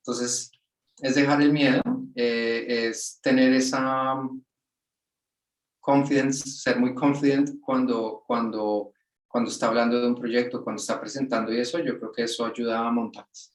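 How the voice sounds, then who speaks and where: A man, a guest on a webinar, talking in a relaxed, colloquial way over a video call. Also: medium pitch, measured pace. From Colombia. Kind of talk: casual webinar interview. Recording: roomy sound from off the mic.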